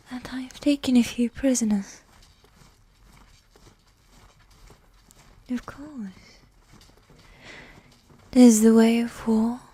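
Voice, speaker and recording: A woman playing a regal, seductive, coolly manipulative evil queen in a medieval ASMR roleplay, speaking in a soft, breathy whisper with formal, measured phrasing. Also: on the high side, no room sound, exaggerated voice. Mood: coolly coaxing. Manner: slow, measured, formal, with long pauses.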